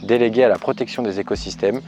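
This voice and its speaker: A man's voice: fairly low pitch, fast, a little mellow, lo-fi sound. A man in his twenties giving an earnest, hesitant campaign statement with frequent fillers. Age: 20s. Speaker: local election candidate. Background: music.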